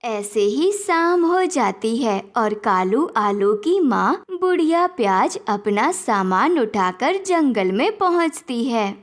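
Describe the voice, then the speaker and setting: A woman's voice: fairly high pitch, big pitch swings, takes it slowly, few pauses. A woman reading scripted narration for a cartoon moral story as the storyteller.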